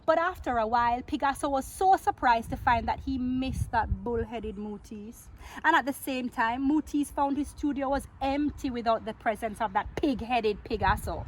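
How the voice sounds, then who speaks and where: A woman reading a picture book aloud to children in an expressive, playful way, drawing out words and asking her listeners questions. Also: a little high, slight background noise, rough recording. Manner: theatrical, playful, with drawn-out words and exclamations.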